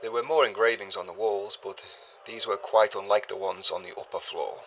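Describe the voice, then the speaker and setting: An actor playing a learned 1930s archaeologist, a man recording a formal, deliberate journal in grave and uneasy tones for an audio drama. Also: lowish voice, fairly even intonation, bright, forceful tone, muffled recording, slight background noise. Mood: grave unease. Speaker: expedition leader keeping a recorded log. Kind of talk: scripted audio drama monologue, spoken journal.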